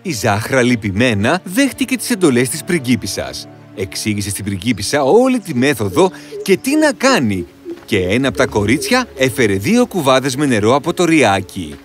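Very expressive male storybook narrator. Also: faint music behind. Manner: dramatic, highly expressive storytelling.

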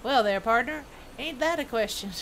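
A folksy cowboy gnome acted out by a woman, fairly high-voiced and theatrical. The delivery is full of straight-shooting Western bravado for a game quest. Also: lively intonation, moderate pace, a bit bright, slight background noise. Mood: folksy, boastful.

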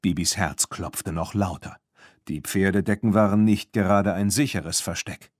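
A man's voice: low voice, moderate pace, no room sound, studio quality. A man narrating a scripted children's story as an audiobook reader.

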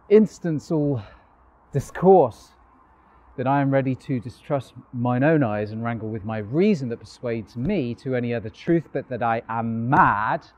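A man performing a bewildered, exhilarated young Shakespearean gentleman in love, in a declamatory classical stage monologue. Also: medium pitch, dull audio. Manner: declamatory, deliberate, marveling. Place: outdoors, park bench. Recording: noisy outdoor recording with passing vehicles.

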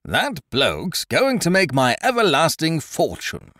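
A man playing a booming, blustering schemer. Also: wildly swooping pitch, moderate pace, no echo, clean studio sound. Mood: expansive. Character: loud, hearty, scheming young male gentleman adventurer.

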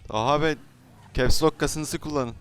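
A man's voice: fairly high pitch, a little slow, fairly bright tone, clean tone. A man improvising pushy, informal role-play in an online game, firing questions at others. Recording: noisy game voice chat.

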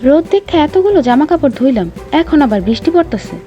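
A woman's voice: sits high, very animated, quick pace, dark tone, muffled recording, soft background music. A woman playing a harried, grumbling cartoon mother with a Bangladeshi Bengali accent, complaining in colloquial speech. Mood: fretful grumbling. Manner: complaining, exasperated.

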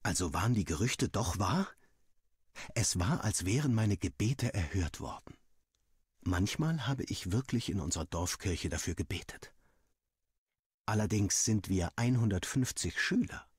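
A man reading a first-person story aloud in an expressive, scripted storytelling style, with a husky voice. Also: fairly low pitch, measured pace, no echo, clean studio sound.

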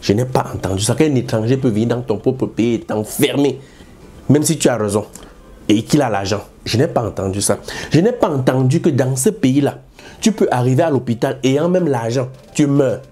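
A man's voice: medium pitch, normal speed, mellow. A man hosting a commentary show, speaking emphatically and repeating his points. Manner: repetitive, emphatic. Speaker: host. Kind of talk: commentary monologue.

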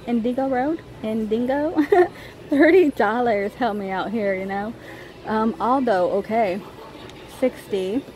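Chatty American woman in a noisy store. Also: on the high side. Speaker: shopping vlogger. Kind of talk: casual shopping walk-through narration. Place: inside a large shoe store. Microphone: handheld phone or camera held by the speaker. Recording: clear ambient store noise. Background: store ambience.

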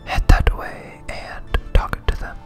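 Someone whispering softly and breathily in hesitant, rambling, self-deprecating ASMR commentary while playing a game. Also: slow, over music. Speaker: host, game streamer.

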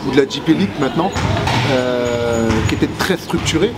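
A man in his forties, a basketball player, answering interview questions with many pauses and restarts, seated in empty arena stands. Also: medium pitch, animated. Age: 40s. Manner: hesitant, many fillers and restarts. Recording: loud background noise.

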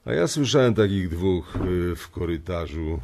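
An actor playing a domineering bank boss, jovial and bullying, with a commanding, theatrical manner in a radio drama. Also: male voice, deep voice, lively intonation, measured pace, vocal fry, a little mellow, slight background noise, heavily acted. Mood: teasing, jovial.

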